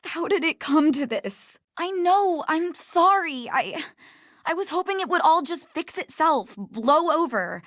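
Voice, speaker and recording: An actress playing a guilt-stricken, frightened woman in a video game, speaking in a halting, pleading way. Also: sits high, expressive, fairly bright tone, dry recording, clean studio sound. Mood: guilty, distraught.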